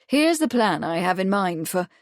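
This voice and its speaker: A woman voicing a composed aristocratic gentleman who speaks in a measured way. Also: mid-range voice, sing-song, dry recording, studio quality, close to an everyday voice. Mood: serious.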